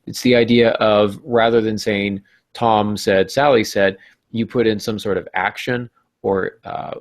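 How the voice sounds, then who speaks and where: A man's voice: lowish voice, fairly even intonation, a little slow, no room sound. A man hosting a podcast, talking informally and jokily as he gives writing advice. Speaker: podcast host.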